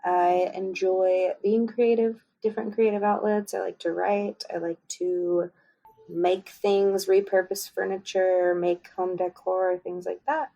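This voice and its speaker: A woman from Kansas co-hosting a book podcast, chatting casually with frequent pauses and ums. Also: medium pitch, creaky, poor audio. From Kansas, USA. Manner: relaxed, unhurried with frequent ums. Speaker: podcast co-host. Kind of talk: casual chat.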